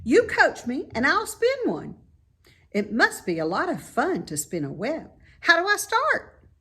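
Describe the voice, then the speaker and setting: Excitable young storybook piglet, male, played by a woman: high, swooping, naive and boastful. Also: measured pace, full character voice. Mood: boastful, eager. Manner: excitable, wide-eyed exclamations and questions.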